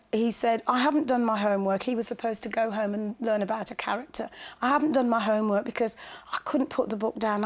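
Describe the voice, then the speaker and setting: A woman, a teacher, recounting experiences in an interview in an articulate, enthusiastic way. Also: a little high. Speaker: special school teacher. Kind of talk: interview recollection.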